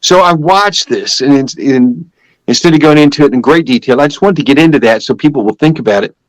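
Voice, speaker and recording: A man's voice: medium pitch, brisk, no echo, poor audio. An older man giving a personal religious testimony as a guest, telling it in an emphatic, repetitive, conversational way.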